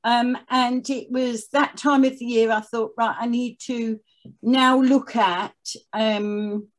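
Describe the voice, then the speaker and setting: High-pitched woman telling her story. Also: steady tone, slow pace. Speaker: podcast guest, charity chief executive. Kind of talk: conversational interview, personal storytelling.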